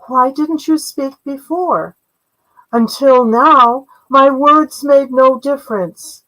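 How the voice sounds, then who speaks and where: A woman's voice: high voice, slow pace, a bit bright, low-quality recording. A woman voicing a candid, resigned young princess in a storybook tale, speaking plainly and earnestly.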